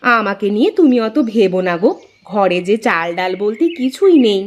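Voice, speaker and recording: A woman's voice: medium pitch, big pitch swings, moderate pace, close to an everyday voice. A woman voicing a poor farmer's devoted, fussing wife in a moral-story cartoon, on a dull recording. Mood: caring, resigned.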